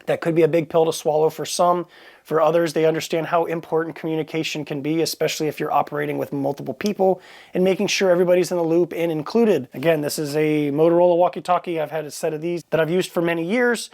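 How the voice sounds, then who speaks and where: A man with a slightly high-pitched voice talks through a product review as the host. His delivery is casual and unscripted, plainspoken and matter-of-fact, like everyday conversation. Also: steady tone, quick pace, darkish tone.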